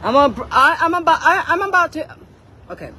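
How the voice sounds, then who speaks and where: A woman's voice: high voice, sing-song, fairly quick, pushed and bright, slight background noise. A woman on a casual livestream rant, confrontational and swearing, repeating phrases for emphasis.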